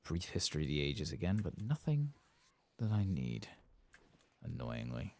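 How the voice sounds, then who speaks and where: A soft-spoken man giving casual, musing ASMR commentary as he plays a game. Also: rumbling low, huge pitch swings, normal speed, creaky. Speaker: gaming ASMR host.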